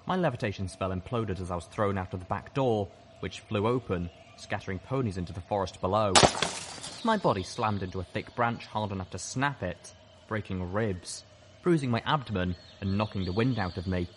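A man with a fairly low voice narrates a scripted story in the manner of an audiobook. There is some faint noise behind his voice. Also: a little fast.

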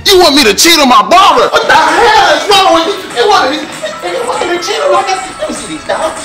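A man loudly cursing and laughing in a barbershop comedy sketch. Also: very high voice, big pitch swings, a little fast, echoey room, music in the background. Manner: loud, boisterous, cursing, laughing. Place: barbershop, indoors.

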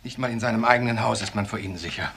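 Contemptuous husband, radio drama: hard bright male voice, scornful, faint noise. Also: on the low side, very fast, gravelly. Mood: indignant, scornful. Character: indignant, contemptuous husband at breakfast. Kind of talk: naturalistic German crime radio drama acting.

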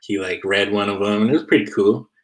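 A man's voice: lowish voice, steady tone, quick pace. A man co-hosting a show over a video call, bantering casually and reading out comments. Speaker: show co-host.

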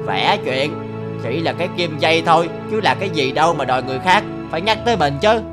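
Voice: medium pitch, expressive, fast, natural voice. A performer voicing a self-important, scornful male clock minute hand in a cartoon, dismissive and boastful, with music behind. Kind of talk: animated fairy-tale cartoon dubbing.

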